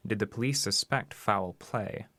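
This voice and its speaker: An actor playing a patient but persistent male interrogator in an audio drama, questioning in a formal, measured way. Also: lowish voice, bright, forceful tone, no echo. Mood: suspicious, pressing.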